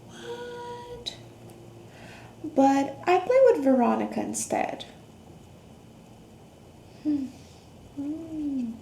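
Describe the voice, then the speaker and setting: A woman reading a picture book aloud to children, expressive, with questions, gasps, hums and hushed asides. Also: sits high, vocal fry. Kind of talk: interactive children's read-aloud with questions to young listeners. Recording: roomy echo, faint background noise.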